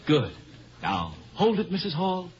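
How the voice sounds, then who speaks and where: A young, smitten magazine photographer in a 1950s radio comedy. He is brisk and bossy yet polite, with a very lively pitch. The sound is muffled under plain hiss. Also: full character voice. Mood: brisk directing. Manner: polite but bossy, flattering. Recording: muffled, noisy old radio recording.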